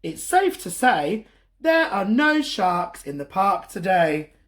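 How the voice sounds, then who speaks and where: A man voicing an excited little boy who shouts in alarm while reading a picture book aloud. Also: sits high, slow pace, full character voice. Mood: relieved.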